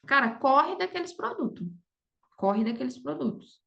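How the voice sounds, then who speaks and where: A woman's voice: sits high, sing-song, normal speed, vocal fry, fairly bright tone. A woman with a Brazilian Portuguese accent giving casual advice as a podcast guest, informal, often checking that she is understood, on slightly lo-fi audio. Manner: informal, frequent check-in tag questions. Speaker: podcast guest, affiliate marketer.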